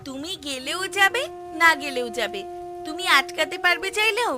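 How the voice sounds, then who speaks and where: A woman's voice: sits high, animated, normal speed. A woman playing a fretting, scolding village wife of a farmer in a cartoon. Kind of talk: cartoon story dialogue. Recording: music playing behind the voice.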